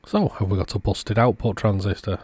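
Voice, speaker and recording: A man with a fairly low voice narrates in a casual way, like a technician walking viewers through bench work. His delivery is informal and explanatory. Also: fairly quick, darkish tone, breathy edge. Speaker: video host, radio repair technician. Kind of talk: casual narrated repair commentary.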